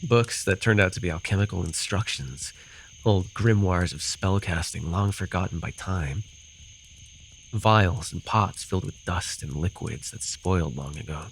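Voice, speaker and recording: A man's voice: deep voice, measured pace. A man acting a rambling, amused forest fae in a fantasy ASMR roleplay, telling his tale with hesitant, apologetic asides. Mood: amused storytelling. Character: rambling, amused male forest-dwelling fae storyteller with bird companion. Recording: noisy with cricket and insect ambience. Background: night forest ambience with crickets and insects.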